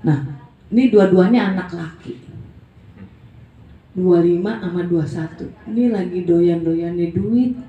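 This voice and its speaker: A woman's voice: lowish voice, unhurried, long pauses, distant mic, lo-fi sound. Emphatic woman in her forties, echoey. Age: 40s. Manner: blunt, emphatic, colloquial. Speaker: network marketing presenter. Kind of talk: motivational talk.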